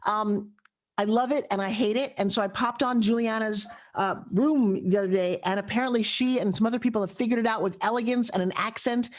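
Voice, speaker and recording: A woman's voice: fairly high pitch, a little fast. A woman hosting a live audio chat room, speaking effusively and gushing over her guests. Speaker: host.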